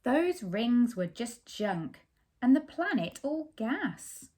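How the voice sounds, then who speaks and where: A woman's voice: fairly high pitch, sing-song, slow pace, darkish tone. A woman reading a picture book aloud expressively, putting simple questions and explanations to young children. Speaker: children's story reader.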